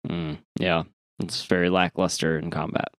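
Clear, low-voiced man co-hosting a podcast. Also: level tone, moderate pace, no room sound, studio quality. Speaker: podcast co-host. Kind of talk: casual comic chat, reading listener emails.

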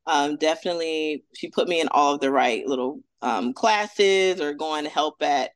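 A woman's voice: low-pitched, moderate pace, dry recording. A woman in her thirties from Atlanta, a veterinarian guest talking casually and upbeat, with many fillers. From Atlanta, Georgia, US. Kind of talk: casual interview.

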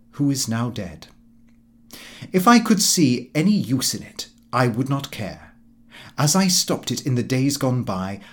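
A man reading a comic diary novel aloud as scripted narration, in a formal, fussy first-person manner. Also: medium pitch. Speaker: audiobook narrator.

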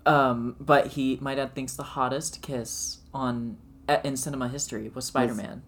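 Man, early twenties, podcast co-host, casual comedic chat, excitable, playful, interrupting. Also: medium pitch, normal speed, slight background noise. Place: bedroom. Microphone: podcast microphone shared by two.

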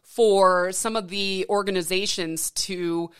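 A woman's voice: mid-range voice, steady tone, slow pace, clean tone. A woman in her late thirties hosting a podcast, talking in an earnest, encouraging way with frequent restarts. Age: 30s. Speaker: podcast host. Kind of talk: casual interview chat.

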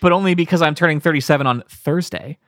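A man's voice: fairly high pitch, quick pace, fairly bright tone, dry recording, clean studio sound. A man in his thirties co-hosting a podcast, chatting in a wry, rambling way with many restarts. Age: 30s. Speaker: podcast co-host. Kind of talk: casual chat.

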